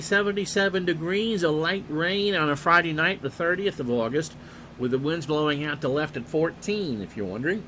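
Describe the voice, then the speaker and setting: A man calling a replayed baseball game play-by-play in a calm, unhurried way, reading player stats and chatting with viewers. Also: on the high side, a little hiss or hum. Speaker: play-by-play announcer and streamer.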